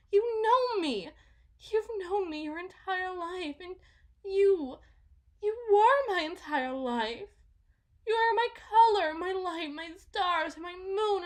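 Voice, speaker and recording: A woman playing a delusional, possessive mother in a dramatic ASMR roleplay, halting and repeating herself theatrically, shifting between hushed and intense. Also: extremely high pitch, animated, heavily acted. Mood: adoring, intense. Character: delusional, possessive mother smothering her supposed lost child.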